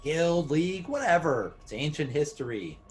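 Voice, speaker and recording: A man's voice: on the high side, big pitch swings, moderate pace, faint music behind. A man playing a smug, sneering club-owner villain in a script table read, with a mocking, theatrical, condescending delivery.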